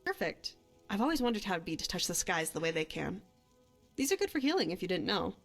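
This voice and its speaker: A woman's voice: on the low side, big pitch swings, fast talker, fairly bright tone, full character voice. Bold young adventurer woman voiced by an actress, music underneath. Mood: casual, coaxing. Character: young woman adventurer and hunter, guilt-haunted, bold, reassuring companion. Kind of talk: fantasy game companion voice acting.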